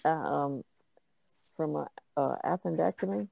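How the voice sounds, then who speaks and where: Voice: mid-range voice, unhurried, creaky, mellow, no room sound. A podcast panelist adding remarks to a casual discussion.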